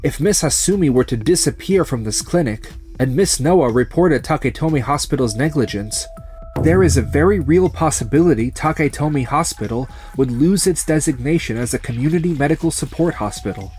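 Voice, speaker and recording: A man playing a calm, resolute young surgeon turned farmer in a manga dub, speaking in a measured, firm way. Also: on the high side, faint music behind, natural voice. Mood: firm, accusing.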